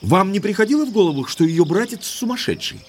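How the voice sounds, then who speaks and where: An actor playing an indignant, lovestruck young English baronet in an audio drama, speaking emphatically like an exasperated gentleman. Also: high-pitched, big pitch swings, fast talker, slight background noise. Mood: exasperated, baffled.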